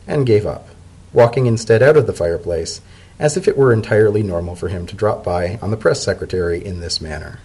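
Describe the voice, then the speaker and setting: A man serves as narrator in a dramatic reading of a story. His voice sits fairly low, with a husky edge. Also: a little mellow.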